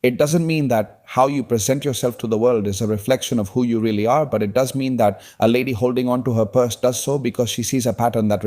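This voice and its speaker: A man's voice: mid-range voice, mellow. A man hosting a podcast interview, making his points in long, opinionated runs. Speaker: podcast host. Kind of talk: conversational interview.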